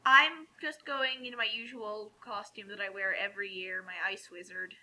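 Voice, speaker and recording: A woman's voice: mid-range voice, steady tone, normal speed, sharp tone, slight background noise, poor audio. An American woman co-hosting a casual group chat, talkative and wry. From United States. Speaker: co-host.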